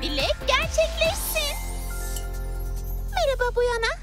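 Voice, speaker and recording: A woman's voice: very high-pitched, big pitch swings, measured pace, exaggerated voice. A woman voicing a brave, cheerful, caring young girl hero in a children's cartoon dub, with an eager, take-charge delivery. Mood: urgent, determined. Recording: music behind.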